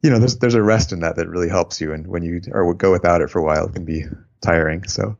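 An American man recalling his time abroad in an interview, reflective and unhurried, with frequent fillers. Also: deep voice, creaky, a little mellow, muffled recording. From United States. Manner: reflective, unhurried, many fillers, laughs at own stories. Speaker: interviewee, former volunteer abroad. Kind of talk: oral history interview.

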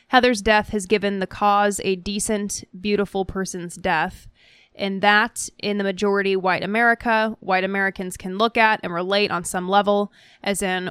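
A woman co-hosting a podcast, reading listener messages aloud in a measured, articulate manner. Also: mid-range voice, fairly bright tone, clean tone, dry recording, studio quality. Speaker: podcast co-host.

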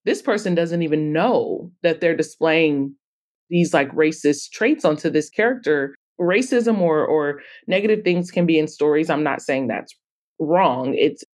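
A woman with a fairly low voice talks as a podcast guest. She explains things in an emphatic, conversational way, often slipping into quoted remarks to make her point. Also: animated, a little slow, a little mellow. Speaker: guest author and anthology publisher.

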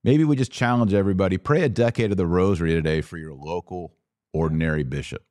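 A man hosting a podcast, speaking in a measured way as he steers the discussion. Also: sits low, a little mellow, no room sound, clean studio sound. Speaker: podcast host. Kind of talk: conversational podcast talk.

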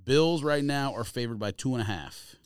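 Animated man bantering about sports, dry close sound. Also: mid-range voice, moderate pace, clear, resonant tone, no room sound. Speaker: sports podcast host. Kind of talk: casual sports banter and game picks.

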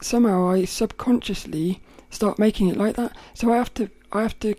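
Woman, rough cracky voice, mellow and dry, rambling chat w/ lots of little laughs. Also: mid-range voice, fairly even intonation, fairly quick, no room sound. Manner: thoughtful, rambling, laughs often. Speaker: podcast host. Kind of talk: casual reflective monologue.

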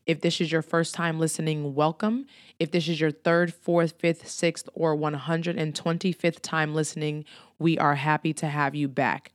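A woman with a low, deep voice hosts her own solo podcast. She sounds a little under the weather, but she stays earnest and encouraging and builds her points through repetition. It is a close, dry studio recording. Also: little pitch movement, moderate pace, no room sound. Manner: earnest, encouraging, repeats phrases for emphasis. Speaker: podcast host.

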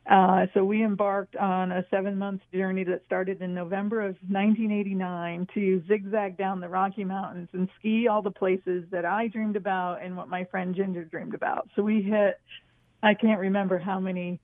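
A woman's voice: medium pitch, little pitch movement, moderate pace. Over a thin, narrow phone connection, a woman in her sixties talks as a radio interview guest. She is from northern New York and tells her stories casually. The sound is dry, with no room echo. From northern New York, USA. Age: 60s. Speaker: guest, author and former park ranger. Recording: tinny, narrow phone line.

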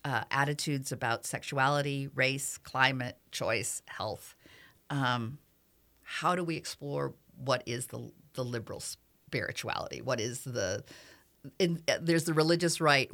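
A woman hosting a radio talk show in a studio, talking earnestly and at a measured pace, with many fillers. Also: rumbling low, a bit bright.

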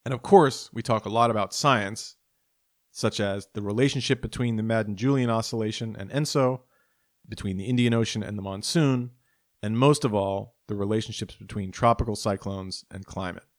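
Measured man hosting a science podcast. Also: fairly low pitch, no echo, studio quality. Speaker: podcast host. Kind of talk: scripted introduction then interview.

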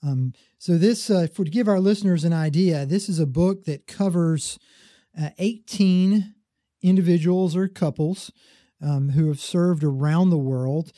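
A man hosts a podcast, asking questions in a friendly, steady way with the odd filler. His voice sits a touch high but sounds very mellow and dark. The recording is dry, with no echo. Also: measured pace. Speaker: podcast host. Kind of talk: interview hosting.

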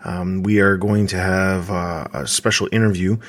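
Low-voiced male podcast host, halting. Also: flat delivery, a bit bright. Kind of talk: casual episode introduction. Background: faint music.

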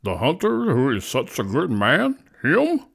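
A man's voice: medium pitch, huge pitch swings, measured pace, creaky, a bit bright, breathy edge, exaggerated voice. A man voicing a cautious, bewildered bear in a storybook tale, asking in a hesitant, puzzled way.

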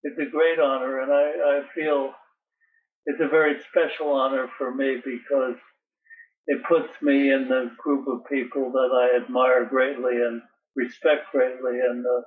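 Older man halting on a tinny phone line. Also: mid-range voice, level tone, recorded from a distance. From Connecticut. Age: 80s. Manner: halting, repeats words. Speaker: poet and guest. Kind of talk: interview.

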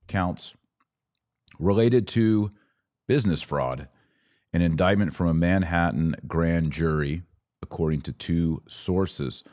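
Male podcast host, very low voice, unhurried commentary with weighted pauses. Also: a little mellow. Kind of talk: political and religious commentary.

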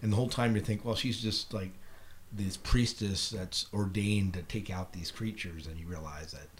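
American man, middle-aged, lowish voice, casual podcast host chat, faint background noise. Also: steady tone, normal speed. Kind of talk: casual chat about shows and films. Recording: clearly noisy podcast recording.

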